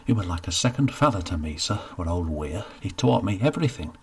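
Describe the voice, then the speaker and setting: A man's voice: low-pitched, sing-song, fairly quick, a little hiss or hum. A man voicing an eager young rustic who wants to be hangman, earnest and broad in speech. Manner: earnest, broad dialect, pleading.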